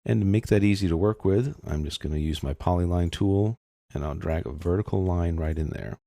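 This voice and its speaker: A man narrating a step-by-step software tutorial in an informal, explanatory way, thinking aloud as he works. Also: low voice, a little fast, vocal fry, no room sound, studio quality.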